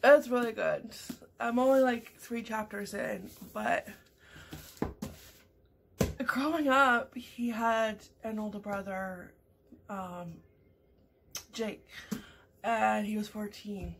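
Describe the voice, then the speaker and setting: A woman's voice: mid-range voice, fairly even intonation, takes it slowly, long pauses, a little hiss or hum. A woman in her thirties from Canada, chatting casually to her webcam over morning coffee at home, rambling with lots of fillers and restarts. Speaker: vlogger. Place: small home room indoors.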